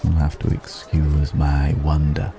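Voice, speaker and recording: A man's voice: very deep voice, monotone, measured pace, music in the background. A man playing a wry, curious ancient dragon passing as a stranger, musing in formal, archaic phrasing in an ASMR roleplay. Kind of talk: ASMR roleplay audio drama.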